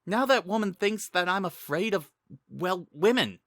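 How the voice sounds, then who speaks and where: A man's voice: high voice, lively intonation, moderate pace, a bit bright, no room sound, clean studio sound, pitched above the performer's own voice. A man voicing a bashful, flustered young male hero in a storybook reading. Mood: embarrassed protest.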